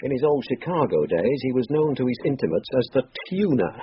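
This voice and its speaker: An actor playing a dry, formal, deferential English police inspector with a husky voice in a radio drama. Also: male voice, medium pitch, sing-song. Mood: dry, informative. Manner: formal, measured, dryly precise. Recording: dull lo-fi radio recording.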